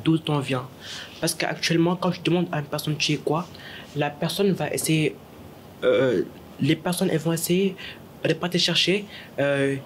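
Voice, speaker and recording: A young man from Senegal makes his point in a class book discussion. He speaks earnestly and repeats words as he searches for the next one. His voice sits a little high, over a faint background hiss. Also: fairly bright tone.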